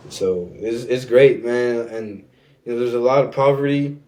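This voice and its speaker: A man's voice: medium pitch, steady tone, a little slow, darkish tone, low-quality recording. A young man from Rwanda, a French and Rwandan speaker, chatting easily as a podcast guest with lots of "you know what I'm saying.". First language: a Rwandan language; also French. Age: young adult. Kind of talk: casual conversation.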